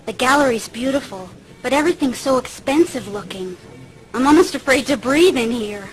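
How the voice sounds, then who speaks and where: An actress playing an earnest, polite, conciliatory young heroine in a video game. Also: female voice, sits high, expressive, moderate pace, low-quality recording. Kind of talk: video game dialogue acting. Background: music.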